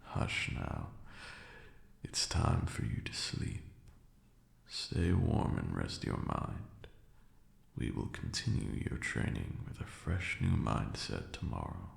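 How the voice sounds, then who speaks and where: A man voicing a haughty but protective ancient vampire lord in a soft, teasing ASMR roleplay, with formal old-fashioned phrasing and a breathy murmur. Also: extremely low pitch, very animated, slow pace, roomy sound, full character voice. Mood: amused, gentle.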